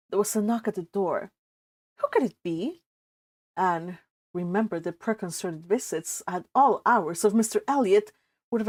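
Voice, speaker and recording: A Californian woman hosting a read-along, reading a novel aloud and chatting about it in an informal, animated manner. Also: mid-range voice, dry recording. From California, United States. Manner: animated, informal, exclaiming asides. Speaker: host of a live read-along.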